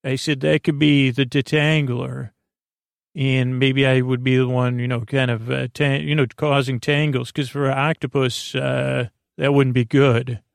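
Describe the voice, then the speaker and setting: A man with a gravelly, fry-heavy voice hosts a silly bedtime podcast. He meanders through improvised thoughts in a halting way, doubling back on half-finished words. The recording is close and dry. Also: mid-range voice, level tone, dry recording. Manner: meandering, halting, repeats and trails off mid-word; goofy, silly, self-deprecating. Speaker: podcast host. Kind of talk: rambling, improvised bedtime monologue.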